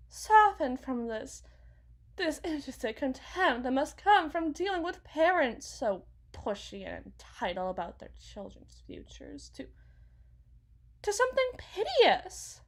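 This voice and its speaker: A woman playing an anxious, fragile wife and mother in an ASMR roleplay, rambling haltingly and correcting herself as if blaming herself. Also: high voice. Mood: fretful, self-blaming. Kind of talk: ASMR dramatic monologue roleplay.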